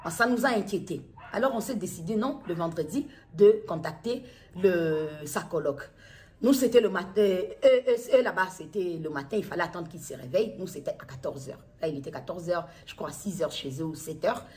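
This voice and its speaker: A middle-aged woman with a Congolese accent from Kinshasa gives an unscripted personal account as a mother, speaking haltingly and repeating and restating her phrases. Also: on the low side, lively intonation, a little mellow. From Democratic Republic of the Congo. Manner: halting, repetitive, circles back to restate phrases. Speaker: mother making a public appeal video. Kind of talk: personal testimony to camera, unscripted recounting.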